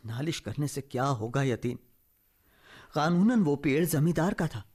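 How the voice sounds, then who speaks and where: Slow, expressive, weary principled villager. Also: male voice, on the high side, breathy edge, faint music behind. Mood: resigned. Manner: earnest, resigned. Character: weary, principled wronged village man, quietly resolute. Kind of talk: Hindi radio play acting.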